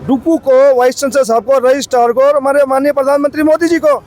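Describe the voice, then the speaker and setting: A protest leader with a sore throat, outdoors, pleading a worker's grievance to a reporter. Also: male voice, very high-pitched, little pitch movement, fast talker, darkish tone, a faint crowd. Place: outdoor protest site by a street.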